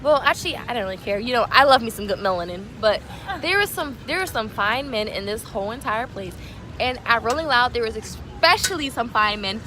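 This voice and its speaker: A woman chatting playfully with a friend outdoors at night, telling jokey stories in a teasing, animated way. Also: a little high, brisk. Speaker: vlogger's friend. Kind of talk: casual joking chat. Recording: loud music and crowd noise behind.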